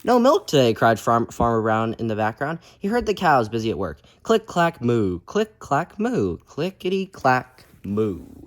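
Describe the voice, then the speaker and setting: A man hosts a read-aloud for young children. His pitch is mid-range and moves up and down in a very animated way. He speaks expressively and encouragingly, as a picture-book storyteller does. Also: measured pace, few pauses. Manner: encouraging, addressing listeners as friends.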